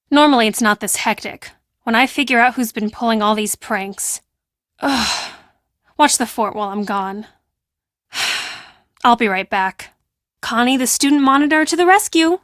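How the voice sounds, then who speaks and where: A woman playing a brash, defensive teenage girl who works as a high school hall monitor in a video game, with a sassy, dismissive and emphatic delivery. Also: fairly bright tone, clean studio sound. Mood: irritated. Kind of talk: acted video game dialogue.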